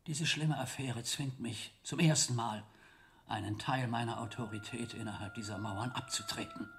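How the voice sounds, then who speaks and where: Male actor as haughty older abbot, medium pitch, stern, pompous, formal, medieval radio drama. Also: normal speed, natural voice. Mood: worried, indignant. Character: stern, proud abbot guarding his authority.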